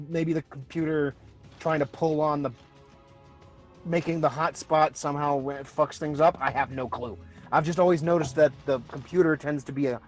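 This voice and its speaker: A man with a fairly high voice talks casually as a player on an online game call. He rambles and swears a bit, with light music in the background. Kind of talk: casual chat.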